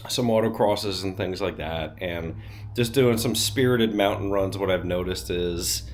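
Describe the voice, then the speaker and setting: A man's voice: lowish voice, slight background noise. A man hosting a casual car vlog from his cold home garage, a little nasal and sniffly from a cold, chatty with plenty of ums and blunt asides. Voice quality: slightly nasal. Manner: chatty, many fillers, blunt. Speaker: car channel host.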